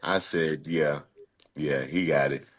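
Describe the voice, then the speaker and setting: A man chatting casually as a guest, laid-back, telling stories in a drawn-out way. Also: extremely low pitch, steady tone. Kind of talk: casual chat.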